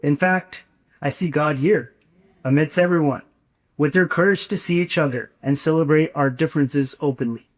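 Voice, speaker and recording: A young man delivering a prepared, inspirational speech as a student speaker, with earnest, measured, rhetorical phrasing. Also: on the high side. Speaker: student speaker at a campus gathering.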